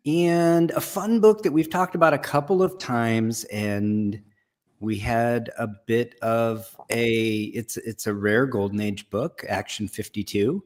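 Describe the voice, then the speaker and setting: A middle-aged man hosts a live stream from his home room, talking over a video call in a laid-back, chatty, self-deprecating way. His voice sits at an ordinary middle pitch. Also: fairly even intonation, takes it slowly. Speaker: host. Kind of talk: casual live-stream chat.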